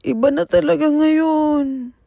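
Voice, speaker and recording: A man's voice: very high voice, steady tone, vocal fry, dark tone, heavily acted. A man voicing a nostalgic, complaining old man with a Filipino accent. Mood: grumbling, nostalgic. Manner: slow, complaining, wistful.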